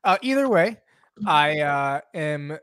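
A man's voice: on the high side, big pitch swings, slow pace, a bit bright, clear, resonant tone, dry recording, studio quality. Young man co-hosting, loose and swearing. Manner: loose, swearing, laughing banter. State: has a cough. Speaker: podcast co-host. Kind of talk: casual chat.

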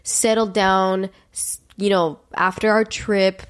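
A woman's voice: mid-range voice, level tone, takes it slowly. A young woman co-hosting a podcast from her apartment, talking casually with lots of fillers, slightly nasal from a cold. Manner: chatty, rambling, lots of fillers. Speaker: podcast co-host. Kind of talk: casual chat. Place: apartment, seated at a table.

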